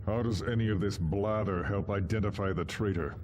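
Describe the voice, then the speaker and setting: A man voicing a suspicious, hot-headed armoured warrior in a game cutscene. His voice is low and blunt, dripping scorn, with clear noise behind it. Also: a little fast, darkish tone, natural voice. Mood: scornful, impatient. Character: impatient, suspicious, scornful space marine warrior. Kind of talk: video game cutscene acting.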